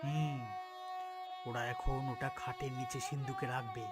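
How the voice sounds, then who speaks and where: A man's voice: mid-range voice, little pitch movement, measured pace, over loud music, close to an everyday voice. Man acting a sly, greedy village husband. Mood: sly, scheming. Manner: melodramatic, emphatic. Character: sly, greedy village husband, scheming then cowardly pleading. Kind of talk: cartoon voice acting.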